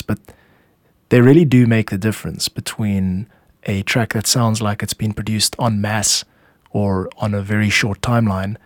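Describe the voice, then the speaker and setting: Low-voiced man explaining music, close and dry. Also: no room sound. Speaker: podcast host and composer explaining own music. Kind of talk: explanatory music podcast narration.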